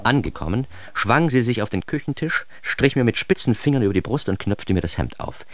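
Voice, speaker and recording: A man's voice: medium pitch, lively intonation, brisk, no room sound, natural voice. An actor narrating a radio drama as a naive, bookish young man with a wry, self-mocking and precise manner. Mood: wry, amazed. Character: naive, bookish young man recounting his life with comic earnestness. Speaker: first-person narrator.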